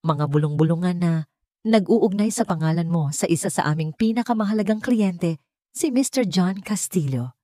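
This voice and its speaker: A woman's voice: on the low side, lively intonation, normal speed, mellow, no echo, studio quality, lightly acted. A woman voicing a strict male hotel general manager in an audio story, stern, formal and emotionless. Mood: cold, formal.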